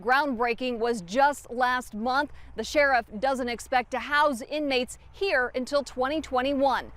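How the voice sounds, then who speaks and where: A woman reporter delivers a news report to camera. Her voice is high in pitch. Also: measured pace, a bit bright, no room sound.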